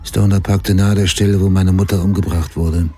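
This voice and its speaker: An actor playing a brooding middle-aged writer, narrating his past in a sober, measured, confessional way for a radio drama. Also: low voice, little pitch movement, noisy background, close to an everyday voice. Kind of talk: literary first-person radio drama narration.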